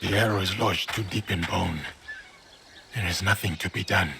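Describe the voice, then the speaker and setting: An actor playing a humble, resolute warrior student in a game cutscene, formal and respectful. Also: male voice, low voice, steady tone, moderate pace, vocal fry, noisy background. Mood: grave. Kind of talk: dramatic game cutscene acting.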